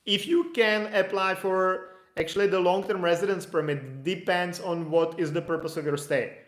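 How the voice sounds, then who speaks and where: Man, higher voice, echoey room. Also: fairly bright tone. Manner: conversational, thinks aloud with frequent restarts and "like". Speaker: immigration consultant answering viewer questions. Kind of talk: live-stream Q&A, casual explanatory.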